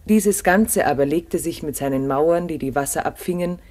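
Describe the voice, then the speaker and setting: A man's voice: fairly high pitch, normal speed, darkish tone. A man narrating a scripted radio documentary drama in a solemn, literary manner.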